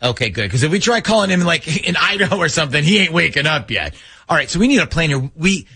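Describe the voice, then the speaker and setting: A man's voice: fairly high pitch, very animated, fast, vocal fry, sharp tone, slightly rough. A man hosting a morning radio show, bantering casually through prank calls.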